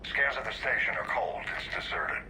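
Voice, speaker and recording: Male admiral, played by an actor, game briefing: authoritative, clipped, echo and clear transmission noise. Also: medium pitch, expressive, normal speed, close to an everyday voice. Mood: urgent, commanding. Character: grave, authoritative older male military admiral.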